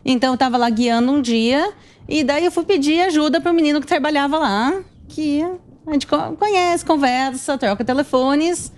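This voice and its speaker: Higher-pitched woman, southern Brazilian accent. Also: moderate pace. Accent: southern Brazilian, Porto Alegre. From Porto Alegre, southern Brazil. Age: 30s. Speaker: tour guide and YouTuber guest. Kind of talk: conversational interview storytelling.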